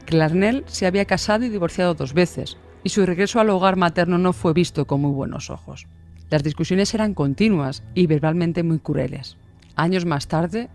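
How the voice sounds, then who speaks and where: A male narrator with a slightly high voice and a Castilian Spanish accent tells a true-crime story in scripted radio style. Faint music plays underneath. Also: a little mellow. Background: music bed.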